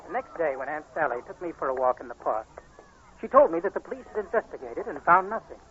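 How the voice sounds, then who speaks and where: An anxious blind young man from an old radio play. His high voice swings widely with earnest, dramatic intensity, heard through a tinny, hissy 1940s recording. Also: very animated, quick pace, sharp tone, full character voice. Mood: tense narration. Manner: dramatic, intense, emotionally urgent.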